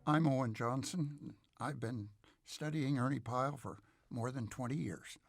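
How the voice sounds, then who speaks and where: A middle-aged or older man speaking as an expert interview guest, reflective and measured, with halting, scholarly phrasing. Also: mid-range voice, gravelly, music in the background.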